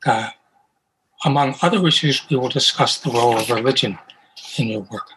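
A man interviewing a guest, asking long, measured questions that trail along. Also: mid-range voice, background noise.

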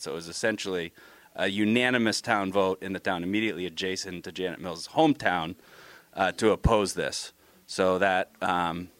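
Low-voiced man recounting facts in a discussion, dry close sound. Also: fairly bright tone, no echo. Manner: matter-of-fact, explanatory. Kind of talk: discussion remarks.

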